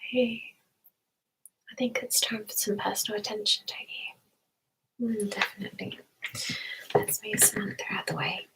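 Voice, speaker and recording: A woman hosting an ASMR stream, speaking in a soft whisper with a gentle, soothing, unhurried manner. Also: medium pitch, big pitch swings, vocal fry, rough recording. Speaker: ASMR live stream host. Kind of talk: ASMR personal attention roleplay.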